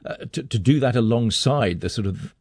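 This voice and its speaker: Man, radio presenter, interview style, warm dark tone, dry sound. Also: mid-range voice, moderate pace, dry recording. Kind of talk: broadcast interview.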